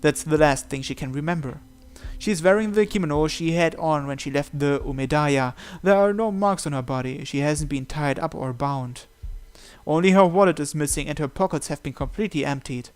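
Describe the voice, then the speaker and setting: A man's voice: a little high, normal speed, clear, resonant tone. A man reading a visual novel aloud as dramatic narration, in a theatrical, suspenseful manner. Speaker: visual novel let's player. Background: game music.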